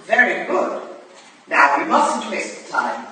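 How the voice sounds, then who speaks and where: A woman's voice: fairly low pitch, big pitch swings, slow pace, sharp tone, heavily acted. A woman acting a stern, aloof older Victorian crusader in formal, commanding style for a staged play reading in an echoey room. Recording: very echoey, distant, noisy room recording.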